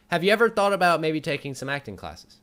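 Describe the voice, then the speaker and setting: A man hosting a casual talk show, chatty and quick to chime in while he interviews. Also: fairly high pitch, fairly bright tone.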